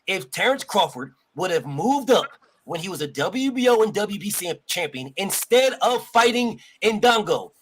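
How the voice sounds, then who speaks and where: Insistent high-voiced man debating boxing. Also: sing-song, normal speed, rough recording. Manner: insistent, repeats points, talks over others. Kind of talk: heated sports debate.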